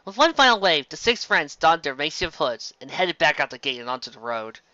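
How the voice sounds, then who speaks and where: Storyteller for fantasy fiction: sharp, forceful tone, dry and slightly dull home recording. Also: medium pitch, very animated, a little fast, dry recording. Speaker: storyteller reading fan fiction aloud. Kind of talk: dramatic scripted story narration.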